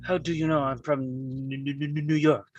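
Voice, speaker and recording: A guarded young American exile, read by a man at a script table read. The voice is husky and a little high for a man, and it catches repeatedly on first sounds in a heavy stammer, yet stays insistent. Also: level tone, moderate pace, dry recording, lo-fi sound, faint music behind, natural voice. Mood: hesitant.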